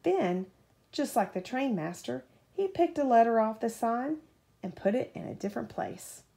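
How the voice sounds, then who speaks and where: A woman reading a children's story aloud as a mother at bedtime, in an animated way, pausing to put questions to a child. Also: fairly high pitch, vocal fry.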